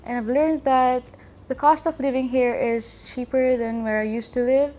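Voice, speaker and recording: A woman's voice: high voice, steady tone, normal speed, dark tone. A young woman from the UK, a medical student answering interview questions in a careful, composed way in an auditorium. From United Kingdom.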